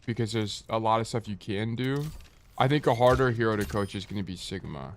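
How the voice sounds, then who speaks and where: A man's voice: fairly low pitch, fairly quick, a little hiss or hum. Young man with a stuffy nasal voice. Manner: rambling, joking, reads chat aloud and answers. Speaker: streamer and game coach. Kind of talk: casual live-stream chat.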